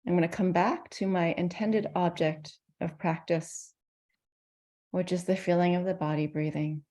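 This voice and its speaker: A woman teaching meditation, guiding listeners in a gentle, soothing manner with pauses between phrases. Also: fairly low pitch, fairly even intonation. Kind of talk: guided meditation.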